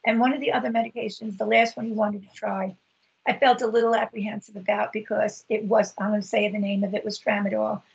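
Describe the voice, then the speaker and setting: A middle-aged woman with a husky voice telling her own story on a video call, earnest and halting with many fillers. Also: mid-range voice, little pitch movement. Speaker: patient advocate. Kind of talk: personal story in a video meeting. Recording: dull lo-fi video call audio.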